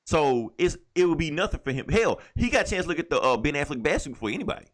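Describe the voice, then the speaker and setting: Fast, animated man bantering on a podcast. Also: on the high side. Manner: profane, emphatic, opinionated. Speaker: podcast co-host. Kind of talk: casual comic book and movie podcast chat.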